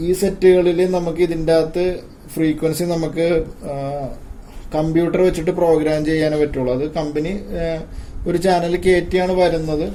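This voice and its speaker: Mellow man, slightly noisy recording. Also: fairly high pitch, little pitch movement, moderate pace. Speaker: tech video presenter. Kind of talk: informal hands-on gadget demonstration.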